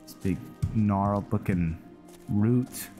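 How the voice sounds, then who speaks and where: A man giving casual, low-key commentary as he plays a video game, muttering his thoughts aloud. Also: takes it slowly, dark tone. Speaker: gamer, channel host. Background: game music.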